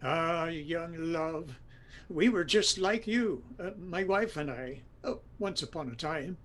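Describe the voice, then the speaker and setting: A man's voice: a little high, takes it slowly, fairly bright tone. A man playing a genial older Irish husband in a comedic audio drama, teasing affectionately in a wry, hesitant way. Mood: wistful.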